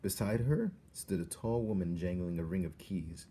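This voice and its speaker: A man's voice: sits low, normal speed, mellow, a little hiss or hum. A man reading a storybook aloud as a dramatic narrator. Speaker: storybook reader.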